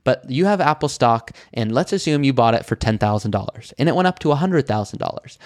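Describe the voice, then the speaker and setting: Male financial planner, mid pitch, brisk conversational explainer, dry close-miked sound. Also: expressive, darkish tone, no echo. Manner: brisk, conversational, voices imagined listener questions. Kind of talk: explaining retirement tax planning to camera.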